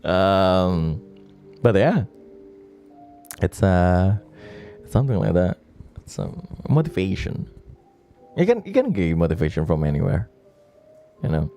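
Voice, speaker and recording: A man's voice: low voice, big pitch swings, a little slow, long pauses, warm tone, soft background music. A man chatting casually and rambling on a late-night stream, with many restarts and laughs. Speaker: virtual streamer.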